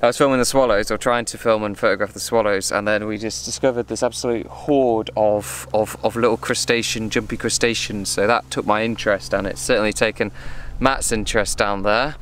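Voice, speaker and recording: A man's voice: lowish voice, steady tone, a little fast, few pauses, fairly bright tone. A man giving casual on-location commentary outdoors as a vlog presenter. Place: outdoors at a nature reserve. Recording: outdoor location audio with clear background noise.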